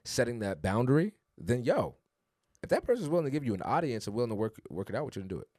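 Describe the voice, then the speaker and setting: A man's voice: medium pitch, very animated, fast, a little mellow, dry recording, clean studio sound. A man co-hosting a podcast, talking in an earnest, reflective way that builds to emphatic urging. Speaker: podcast co-host. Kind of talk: casual podcast conversation.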